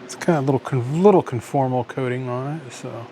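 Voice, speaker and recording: American guy doing a casual teardown at his workbench, medium pitch, kinda muttering to himself, noisy recording. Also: fairly quick, dark tone. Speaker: host of an electronics teardown video. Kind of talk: casual unscripted commentary while working. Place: indoors at a workbench. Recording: clearly noisy home recording, sometimes off-mic.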